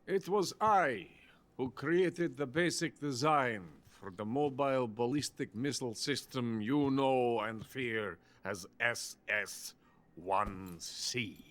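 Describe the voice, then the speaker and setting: An actor playing a drunk, boastful older Soviet weapons scientist in a video game cutscene. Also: male voice, medium pitch, slow pace, fairly bright tone. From Soviet Union. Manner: pompous, self-important, bitter.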